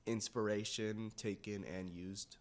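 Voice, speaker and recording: Young man, low-pitched voice, podcast co-host, casual informal chat. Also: fairly even intonation, unhurried.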